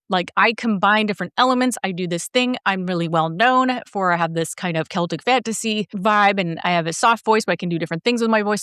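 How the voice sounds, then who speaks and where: A woman's voice: mid-range voice, fairly bright tone, clear, resonant tone, dry recording. Dry, talkative woman coach. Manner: talkative, encouraging, explains with examples. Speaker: business coach and host. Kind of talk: coaching conversation.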